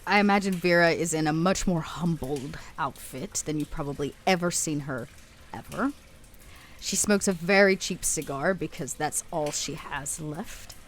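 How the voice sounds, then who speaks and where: A woman with a slightly low voice is a player in a tabletop game. She improvises descriptions of what her character does. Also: normal speed, slight background noise. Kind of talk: improvised roleplay narration.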